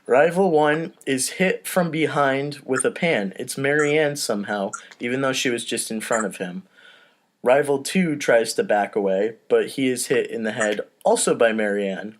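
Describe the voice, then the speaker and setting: A man's voice: mid-range voice, normal speed. A man hosting and narrating a comedy table read in a casual, self-deprecating way with informal asides.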